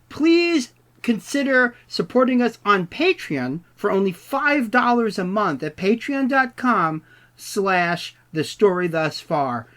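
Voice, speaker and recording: A woman's voice: medium pitch, fairly bright tone. A woman reading a scripted podcast outro in a folksy, chipper manner as she appeals to listeners. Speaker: podcast announcer.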